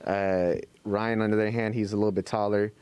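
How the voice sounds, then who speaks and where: Laid-back young man, low voice. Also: level tone, fairly quick, darkish tone, clean tone, dry recording. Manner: laid-back, swears casually, trails off with "you know what I'm saying". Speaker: podcast co-host. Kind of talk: casual chat.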